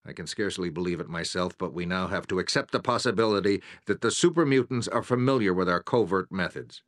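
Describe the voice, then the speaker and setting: Military briefing officer for a game, male actor: low, grave, measured, formal. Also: natural voice. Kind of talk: video game mission briefing.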